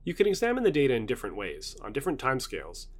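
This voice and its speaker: A man's voice: mid-range voice, huge pitch swings, very fast. A man reading a nonfiction book aloud as scripted narration.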